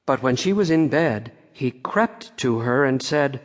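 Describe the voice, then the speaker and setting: A man narrating a fairy-tale audiobook in a measured storytelling way, with long reverb on the recording. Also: medium pitch, animated.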